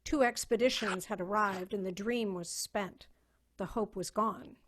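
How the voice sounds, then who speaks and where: A woman serves as narrator in a dramatic reading. Her voice sits at a middle pitch. She delivers scripted third-person storytelling between the characters' speeches. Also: measured pace, fairly bright tone.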